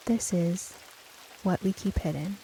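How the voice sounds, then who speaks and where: A woman playing a curious, earnest young woman who narrates her own spooky radio drama in a measured, storytelling way. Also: on the low side, poor audio. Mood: measured, somber. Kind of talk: first-person scripted radio drama narration.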